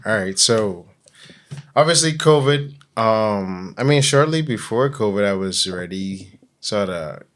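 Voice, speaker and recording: A man's voice: fairly low pitch, expressive, a little slow, a bit bright. A man with a Bahamian accent hosting a casual podcast interview, informal and thinking aloud as he talks.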